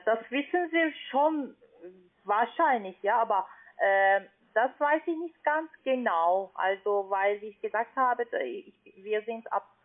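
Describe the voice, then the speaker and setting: A call centre agent, a woman speaking with a foreign, non-native accent, halting and searching for words on a phone call. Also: medium pitch. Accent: foreign, non-native German. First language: not German. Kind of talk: unscripted phone sales call. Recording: narrow tinny phone line.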